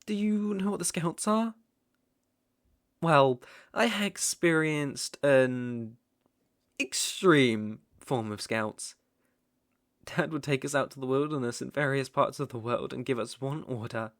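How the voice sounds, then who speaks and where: Male actor for obsessive yet protective stalker in ASMR roleplay: British, mid-pitched, tender, wry, halting delivery, dry close sound. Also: dry recording. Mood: wry, musing. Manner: tender, doting, wry, halting phrasing with soft chuckles. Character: devoted, possessive male stalker, outdoorsy and softly protective. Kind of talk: intimate ASMR roleplay acting.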